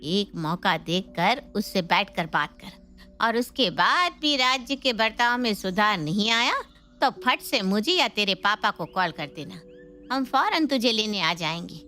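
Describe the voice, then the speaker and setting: A woman's voice: medium pitch, expressive, a little slow, sharp tone, faint music behind. A woman playing a protective, indignant middle-aged mother in an Indian family story, emphatic and fussing. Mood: suspicious, protective. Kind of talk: scripted Hindi family story dialogue.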